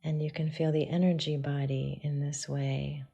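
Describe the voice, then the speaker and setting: Woman, meditation guide, low-pitched, even and unvarying intonation, soft hushed delivery, frequent pauses. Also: vocal fry, breathy edge, lo-fi sound. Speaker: yoga and meditation teacher.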